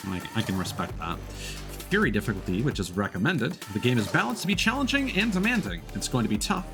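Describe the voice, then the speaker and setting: A man giving casual livestream commentary while playing a video game. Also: on the low side. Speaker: streamer. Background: game music.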